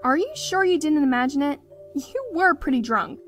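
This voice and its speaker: A young mage in a fantasy visual novel. She is high-pitched and very expressive, with a casual, blunt, caring bossiness. Faint mallet music plays behind her. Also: moderate pace. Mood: skeptical, curious. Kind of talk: visual novel character acting.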